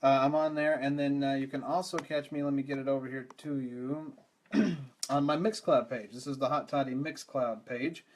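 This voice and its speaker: Hoarse, rattly-voiced man hosting live. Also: medium pitch, steady tone, normal speed. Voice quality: rattly, strained voice. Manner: rambling, self-deprecating, many restarts. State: hoarse, losing his voice. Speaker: podcast host. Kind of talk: casual live-stream chat. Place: home room with mic. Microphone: studio microphone.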